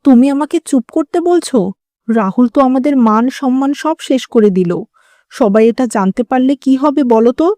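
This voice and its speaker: A woman's voice: a little high, dark tone, dry recording, clean studio sound, natural voice. A woman voicing a scolding, traditional middle-aged mother-in-law in a cartoon drama, lamenting and dramatic.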